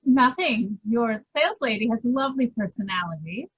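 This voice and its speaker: A woman plays a polite, well-to-do lady customer in an old-time radio comedy. Her voice is high, with a rough, breathy edge. She is unfussy and courteous. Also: expressive, a little fast, dull audio.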